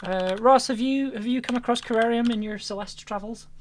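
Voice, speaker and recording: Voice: mid-range voice, brisk, a little hiss or hum. A livestream host chatting casually and a little hesitantly, often repeating phrases while wrapping up a show. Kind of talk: casual chat, closing out a livestream.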